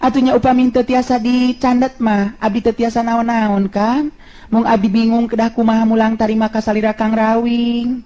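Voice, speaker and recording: A woman voicing a polite, demure and grateful young village woman in a storytelling, gentle and deferential, on a dull recording. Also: on the high side, flat delivery, moderate pace, lightly acted. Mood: shy, grateful. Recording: dull, lo-fi sound.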